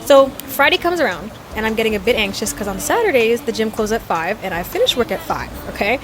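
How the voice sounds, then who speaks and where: A young woman chatting through a fitness vlog voice-over, telling a story with quick self-deprecating laughs. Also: a little high, sing-song, over music, rough recording. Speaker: fitness vlogger. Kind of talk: voice-over narration.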